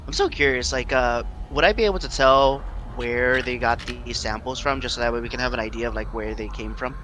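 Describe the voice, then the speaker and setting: A man's voice: medium pitch, fairly quick, fairly bright tone, slight background noise. A man chatting casually as a player in a tabletop roleplaying game, hesitant and questioning.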